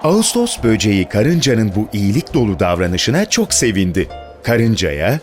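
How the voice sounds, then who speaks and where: A man's voice: lowish voice, very animated, moderate pace. A man narrating a scripted children's fairy tale as a storyteller. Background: music.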